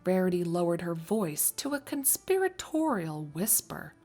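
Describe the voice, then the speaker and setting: A woman narrates a scripted story in a slightly low voice. She reads at a very unhurried pace, as a storyteller between lines of dialogue. Music plays faintly behind her. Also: very animated, warm tone.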